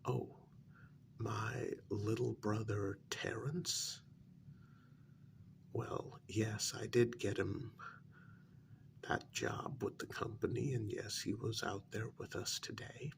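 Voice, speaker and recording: A man playing a polite, hesitant working man placating his boss in a soft, partly whispered ASMR role-play, halting and deferential. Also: on the low side, fairly even intonation, creaky. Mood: apologetic, reassuring. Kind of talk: soft-spoken ASMR role-play, one side of a conversation.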